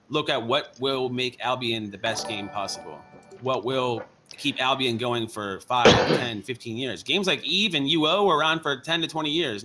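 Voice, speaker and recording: A man's voice: medium pitch, background noise. A man from the United States hosting a casual gaming podcast, rambling and joking with frequent restarts. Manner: rambling, jokey, hesitant with many restarts. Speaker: podcast host.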